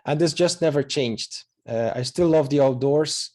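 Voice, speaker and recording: A man's voice: on the high side, normal speed, warm tone. A man in his thirties from Belgium, a native Dutch speaker with a Belgian Dutch accent, giving an online presentation as a photographer in a hesitant, earnest way with many filler sounds. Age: 30s.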